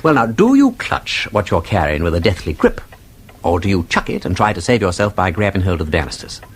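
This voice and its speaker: Posh english gentleman detective, low voice swinging all over, witty and nosy, radio play, faint noise behind. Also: big pitch swings, a little fast. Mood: playful probing. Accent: upper-class English. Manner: urbane, argumentative, theatrical. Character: witty, inquisitive gentleman sleuth, playful and persistent. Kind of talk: British radio drama acting.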